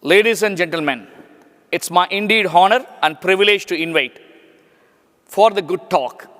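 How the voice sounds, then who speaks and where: A man's voice: high voice, moderate pace, fairly bright tone. A man hosting an on-stage talk, formally reading out a guest's titles and asking questions. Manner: formal, reads titles in a list. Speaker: event chairman and host. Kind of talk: formal introduction and interview questions. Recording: reverberant hall with faint music.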